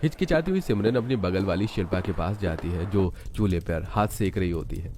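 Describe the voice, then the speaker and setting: Low-voiced man narrating a cartoon story. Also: lively intonation, brisk.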